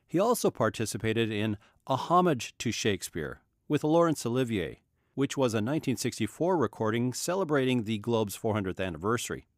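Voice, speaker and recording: A man reading scripted biographical narration as an announcer, in a measured, factual manner. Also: mid-range voice, sing-song, no room sound, clean studio sound.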